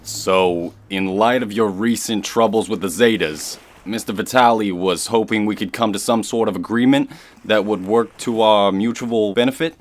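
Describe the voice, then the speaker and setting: Man playing a low-voiced mob muscleman. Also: moderate pace, natural voice. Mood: businesslike. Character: thick-skulled bodybuilder mob henchman, doubtful but obedient. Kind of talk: crime radio drama acting.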